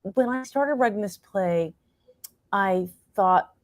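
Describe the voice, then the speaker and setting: A woman's voice: mid-range voice, lively intonation, slow, no room sound. A middle-aged American woman talking reflectively as an interview guest, animatedly acting out her own thoughts as she tells stories. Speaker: guest, playwright and actor.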